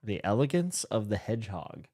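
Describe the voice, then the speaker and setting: Male podcast host, slightly low voice, loose self-deprecating chatter with frequent laughs. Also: very animated, normal speed, warm tone. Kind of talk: casual livestream chat.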